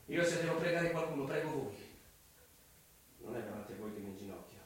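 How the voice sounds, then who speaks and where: A man's voice: medium pitch, animated, a little fast, very noisy, lightly acted. An actor playing an intense, tormented young man in an Italian radio drama, speaking with impassioned, theatrical insistence. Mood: anguished, furious. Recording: reverberant, distant mic, music bed.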